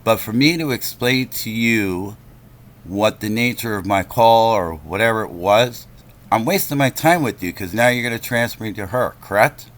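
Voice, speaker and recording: A man's voice: lowish voice, normal speed. A man making a complaint on a phone call, speaking in an insistent, confrontational, lecturing manner.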